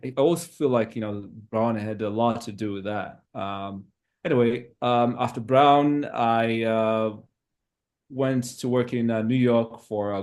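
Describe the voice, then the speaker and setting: A man from Zimbabwe in his thirties, a podcast guest who talks in a hesitant, reflective way with many pauses. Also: lowish voice, a little mellow. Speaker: interview guest. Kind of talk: casual interview talk.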